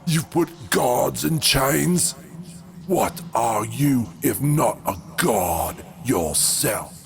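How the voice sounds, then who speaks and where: A man playing a weary, defiant old enslaved god in a motion comic, accusing and declamatory in a gravelly voice. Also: medium pitch, animated, very slow, slight background noise, heavily acted. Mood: scornful, accusing.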